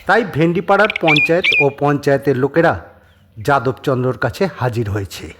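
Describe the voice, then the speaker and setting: A man's voice: mid-range voice, lively intonation, fairly quick. A man narrating a scripted suspense story as a dramatic audio storyteller. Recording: clearly noisy background.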